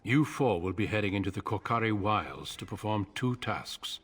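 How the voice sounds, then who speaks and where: An actor playing a grave, authoritative male commander in a video game, speaking in a measured, formal way. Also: fairly low pitch, lively intonation, a bit bright, husky. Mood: grave, instructing.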